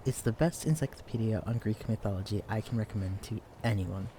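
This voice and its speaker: Shy, mellow-voiced young male librarian played by an actor. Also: lowish voice, a little fast, a little hiss or hum, close to an everyday voice. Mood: flustered searching. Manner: shy, hesitant, stammering, hushed. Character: shy, clumsy, bookish young male librarian, eager mythology lover. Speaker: librarian character in an audio roleplay. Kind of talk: intimate audio roleplay acting addressed to the listener. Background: quiet library ambience.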